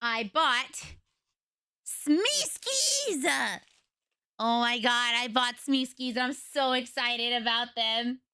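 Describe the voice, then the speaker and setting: A young woman streamer with a raspy voice, chatting casually and rambling to her viewers from home. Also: a little high, animated, drawn-out words, bright, forceful tone, dry recording. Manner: chatty, rambling, retells conversations.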